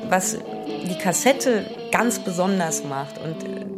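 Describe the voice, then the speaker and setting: A woman's voice: lowish voice, animated, slow pace. A woman giving thoughtful, unscripted commentary in an interview. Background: guitar music.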